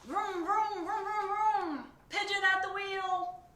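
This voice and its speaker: A woman's voice: on the high side, big pitch swings, a little slow, a bit bright, voice pushed up. A woman doing a whiny, begging cartoon pigeon. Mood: gleeful. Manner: wheedling, begging, melodramatic. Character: pleading, whiny, excitable male pigeon. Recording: echoey room, distant mic, faint noise.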